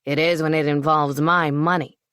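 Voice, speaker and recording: A woman's voice: sits low, steady tone, moderate pace, clean tone, dry recording, studio quality, pitched below the performer's own voice. Woman voicing a snarling, abusive ex-husband. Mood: contemptuous. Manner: snarling, loud, abusive threats. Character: cruel, sneering ex-husband, young man.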